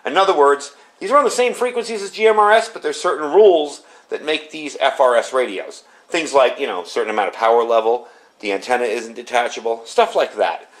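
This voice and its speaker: A man with a mid-pitched voice talks through a product demonstration. His delivery is casual and unscripted, with plain-spoken explanations. He sounds conversational, like a reviewer walking someone through features. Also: brisk.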